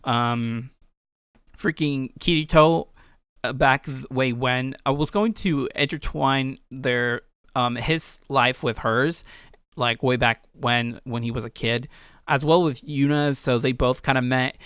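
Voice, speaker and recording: A man's voice: mid-range voice, steady tone, slow, fairly bright tone, smooth, no echo, studio quality. A man giving casual commentary on his own game as its creator, informal and rambling, often correcting himself. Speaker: game creator and video host.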